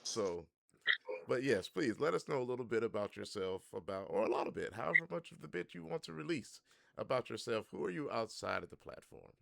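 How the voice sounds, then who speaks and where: A man's voice: mid-range voice, expressive, brisk, gravelly, dry recording, studio quality. A man hosting a podcast, chatting casually and playfully with his guest, often laughing. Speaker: podcast host. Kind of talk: casual interview chat.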